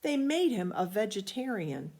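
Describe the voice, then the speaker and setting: A woman reading a children's picture book aloud, expressively and emphatically, with dramatic pauses. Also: medium pitch, sing-song, darkish tone.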